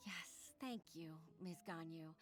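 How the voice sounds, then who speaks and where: Grateful game clerk, lower voice, soft music underneath. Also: female voice, takes it slowly. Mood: earnest. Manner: soft, sighing, earnest. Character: earnest young female clerk, grateful. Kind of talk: video game voice acting. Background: faint game music.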